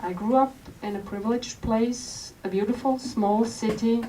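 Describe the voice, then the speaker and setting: A woman from Switzerland, reading aloud from her memoir at a bookstore event in a gentle, unhurried storytelling manner. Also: on the high side. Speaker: author. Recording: distant mic with faint room noise.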